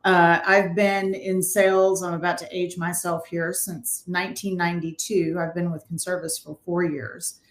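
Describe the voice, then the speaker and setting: Woman, Texan accent, 50s, self-deprecating, lowish voice, echoey call audio. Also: flat delivery, normal speed, hardly any pauses. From Texas. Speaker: sales representative. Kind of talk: webinar introduction. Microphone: video call.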